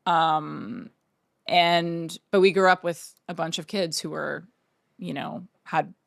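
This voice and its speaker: Woman, thirties, from Oregon, rambling storyteller, low gravelly voice. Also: steady tone, takes it slowly, no echo, studio quality. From Oregon, United States. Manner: rambling, filler-heavy storytelling. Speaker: painter, interview guest. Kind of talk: casual interview conversation.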